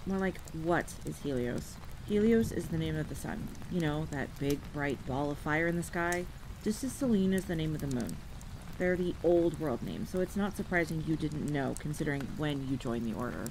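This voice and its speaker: The unimpressed veteran at the edge of a rain-lashed army campfire in a fantasy audio play a woman acting, curt, deadpan, needling her comrades. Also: moderate pace. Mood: deadpan explaining. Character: dry, gruff, unimpressed female soldier, fantasy crossbow fighter. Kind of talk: immersive roleplay audio drama addressed to the listener. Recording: loud rain and rumble behind voice. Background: rain, campfire ambience, rolling thunder.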